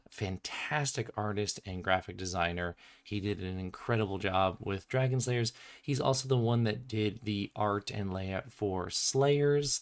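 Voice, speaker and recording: A man giving casual, unscripted commentary as a game designer on a livestream, chatty and explanatory, slightly sniffly from a cold. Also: lowish voice.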